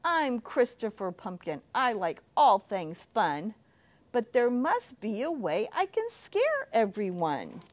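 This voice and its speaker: A woman's voice: a little high, very animated, slow. A woman voicing a cheerful young male pumpkin who loves fun things, speaking in a sweet, upbeat way in a storybook reading. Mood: determined.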